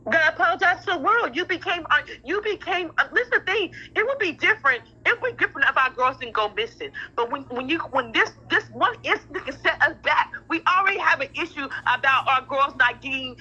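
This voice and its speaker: A middle-aged woman with a high, very sharp and forceful voice speaks over a muffled phone connection. She is blunt and emphatic, hammering points by repeating them in heated, casual conversation. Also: animated, fast, few pauses, a little hiss or hum. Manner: emphatic, repetitive, blunt with profanity. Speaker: parent in a phone discussion. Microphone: phone call. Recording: muffled telephone-like audio.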